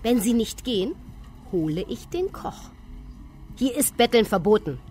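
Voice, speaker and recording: An actress playing a matter-of-fact restaurant employee in a radio play, speaking formally. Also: female voice, a little high, very animated, a little slow, a little mellow, faint music behind. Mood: firm. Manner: formal, firm.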